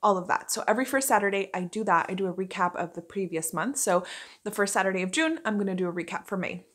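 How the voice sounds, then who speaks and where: A young woman talks to camera in a small indoor room as a vlogger would. Her pitch sits in the middle of the female range. She is chatty and informal, explaining things in an easy conversational style. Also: expressive, quick pace, a bit bright. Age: young adult. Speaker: vlogger, actor. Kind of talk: casual vlog talk to camera. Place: small furnished bedroom, indoors.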